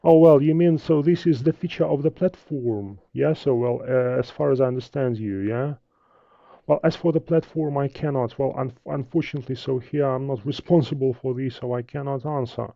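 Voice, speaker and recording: A man with a Russian accent, a native Russian speaker, teaching trading in a live webinar, halting, with many fillers and restarts. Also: medium pitch, gravelly, no room sound, muffled recording. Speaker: trading instructor. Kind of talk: live webinar talk with viewer questions.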